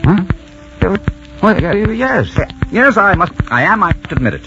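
An actor playing a proud, dashing pirate captain, gruffly romantic and mocking, in theatrical 1940s radio style. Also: male voice, high-pitched, very animated, measured pace, full character voice. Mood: boastful. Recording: muffled, very noisy 1940s radio recording with music.

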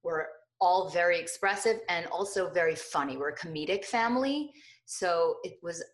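A middle-aged woman with a hoarse, notably low voice, talking freely as a guest on an interview podcast. She rambles expressively, with frequent fillers and restarts. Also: moderate pace. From New Jersey, USA. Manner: chatty, expressive, many fillers. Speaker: guest, lifestyle blogger. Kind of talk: casual interview conversation.

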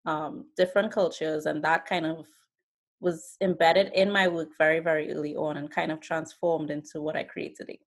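A young Trinidadian woman, in her twenties, talks through her life story in a calm, reflective interview. Her voice sits a little low. She fills her pauses with small hesitations. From Trinidad and Tobago. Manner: relaxed, thoughtful storytelling with fillers. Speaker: artist guest. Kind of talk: casual interview.

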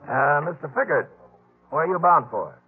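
An American actor in a 1950s radio drama, playing a coolly menacing escaped outlaw boss who poses as a sheriff, commanding and slyly insinuating. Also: male voice, on the high side, big pitch swings, normal speed. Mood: sly, falsely genial. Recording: muffled vintage radio recording, faint music.

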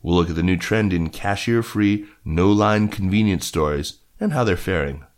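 A man's voice: deep voice, normal speed. A man reading scripted business news as a podcast host.

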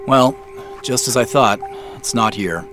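An actor playing an evasive out-of-towner on a treasure hunt, halting and offhand, in audio drama dialogue. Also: male voice, medium pitch, music in the background, close to an everyday voice. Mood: feigned disappointment.

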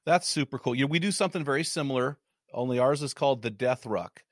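A man hosting an interview, casual and enthusiastic, with quick laughs. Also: mid-range voice, dry recording, clean studio sound. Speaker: interview host.

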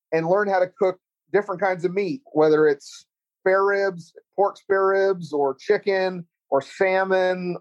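A middle-aged man with a Texan accent talks earnestly and rambles, circling back through restarts and fillers. His voice sits a little high for a man. The audio is muffled and lo-fi. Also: a little mellow. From Central Texas. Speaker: culinary teacher and chef. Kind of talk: casual interview chat.

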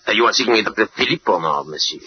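Snippy french doctor, talks fast, swoopy pitch, muffled old radio play. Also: male voice, expressive, slightly rough. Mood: businesslike. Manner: curt, indignant. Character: brusque, impatient male French doctor, easily irritated. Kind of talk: old-time radio drama acting.

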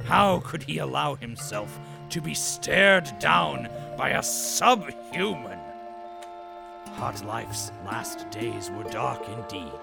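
An actor playing a grandiose, wistful storyteller in an audio drama, theatrical and grandiloquent. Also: male voice, fairly high pitch, vocal fry, exaggerated voice. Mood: incredulous. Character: grandiose, wistful storyteller loyal to a fallen corporation.

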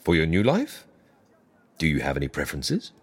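A dry, formal fixer in a comedy audio drama. The voice is husky and swings very widely in pitch, selling schemes in a measured, businesslike way. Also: huge pitch swings, full character voice. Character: wry, businesslike fixer who arranges faked deaths for clients. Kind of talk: comic audio drama acting.

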